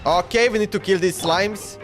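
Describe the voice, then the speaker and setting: A man's voice: sits high, moderate pace. A man livestreaming a video game, thinking aloud in casual commentary and often repeating himself, talking to the game and his chat. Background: game music.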